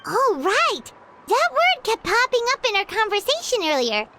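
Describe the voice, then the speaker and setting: A woman voicing a tiny, excitable, chatty fairy companion with a squeaky childlike voice in a video game. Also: moderate pace, heavy background noise, exaggerated voice. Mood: bubbly, curious. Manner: refers to self in third person, exclamatory.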